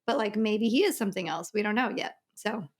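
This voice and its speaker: A woman's voice: mid-range voice, brisk. A woman co-hosting a casual book discussion in a chatty, loosely strung way, peppered with "like". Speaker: podcast co-host.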